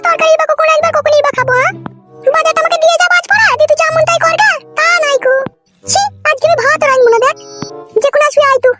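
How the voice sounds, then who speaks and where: A woman voicing a sulky, sharp-tongued young village wife in a comic cartoon, pitched squeaky. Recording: music under the voice.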